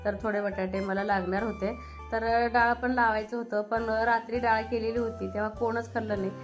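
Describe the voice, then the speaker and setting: A woman's voice: on the high side, flat delivery, brisk, music in the background. A woman with a rural Maharashtrian Marathi accent, chatting in a homely, colloquial way as she narrates a family vlog. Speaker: family vlogger. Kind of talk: casual home vlog, chatty cooking narration.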